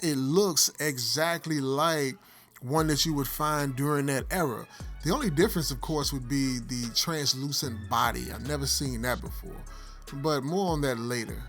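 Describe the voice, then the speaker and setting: A man's voice: a little high, a bit bright. A middle-aged man giving a casual product review, explaining things directly to viewers. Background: background music.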